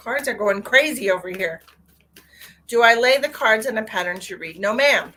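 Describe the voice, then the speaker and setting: A woman, congested, chatting warmly with viewers during a live tarot reading. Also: a little high, animated, normal speed. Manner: chatty and affectionate, addresses viewers directly. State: stuffy, congested. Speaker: psychic tarot reader.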